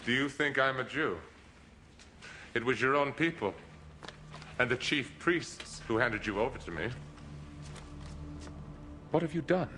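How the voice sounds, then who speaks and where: An actor playing a commanding, questioning Roman governor in a dramatic film, speaking in a measured, deliberate way. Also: male voice, medium pitch, animated, pushed and bright, slight background noise. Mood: probing, skeptical. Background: film score.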